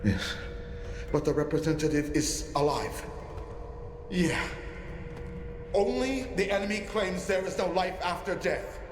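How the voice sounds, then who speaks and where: An actor playing a fanatical, grief-maddened cult leader in a video game, delivering a philosophical, declamatory monologue. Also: male voice, high voice, lively intonation, unhurried, long pauses. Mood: morbid musing. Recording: echoey space, loud ambient noise.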